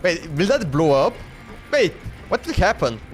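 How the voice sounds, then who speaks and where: A man's voice: sits high, big pitch swings, a little slow. A man livestreaming a video game, thinking aloud in casual commentary and often repeating himself, talking to the game and his chat. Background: game music.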